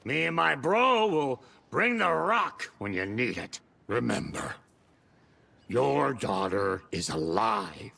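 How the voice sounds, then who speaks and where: Gravelly, slow old rocker grandpa. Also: male voice, medium pitch, big pitch swings, sharp tone, heavily acted. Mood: rousing, reassuring. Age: older. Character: eccentric old rock musician grandfather, kindly and cryptic. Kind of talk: dramatic game acting.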